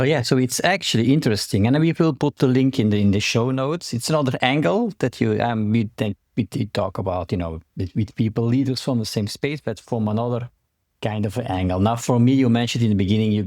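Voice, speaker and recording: A man's voice: lowish voice, a little mellow, no room sound, clean studio sound. A man hosting a podcast interview, asking loose, rambling questions. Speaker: podcast host. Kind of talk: conversational interview.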